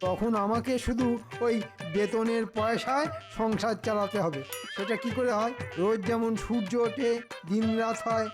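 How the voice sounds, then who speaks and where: A man's voice: very high voice, steady tone, normal speed. A man voicing a scheming, greedy cartoon minister in an oily, wheedling, theatrical way. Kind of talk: exaggerated comic cartoon acting. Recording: music behind the voice.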